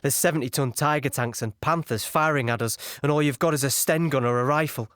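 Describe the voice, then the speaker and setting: A man playing a weary young infantryman, recalling battle in a halting, conversational audio-play monologue. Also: medium pitch, a bit bright, clear, resonant tone, no room sound, clean studio sound, lightly acted. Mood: agitated.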